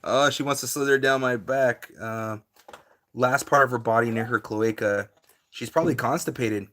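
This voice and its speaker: Chill dude on a live stream, reads chat and rambles back, ums everywhere, medium voice. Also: male voice, mid-range voice, normal speed, fairly bright tone. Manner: laid-back, chatty, many fillers, reads chat aloud and answers it. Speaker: reptile breeder hosting a live stream.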